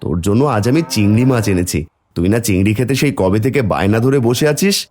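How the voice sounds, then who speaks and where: Guy doing a poor humble farmer dad for a cartoon, pleading tone, pitch moves around a lot, music under it. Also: animated, fast, darkish tone, lightly acted. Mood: eager, affectionate. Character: humble, hardworking poor village farmer, a doting father. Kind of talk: Bengali cartoon fairy tale dubbing.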